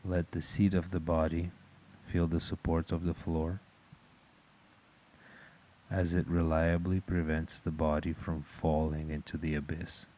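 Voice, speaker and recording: A man with a very deep voice guides a meditation. He gives calm, measured instructions at a very slow pace, in a notably even tone that barely rises or falls. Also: low-quality recording.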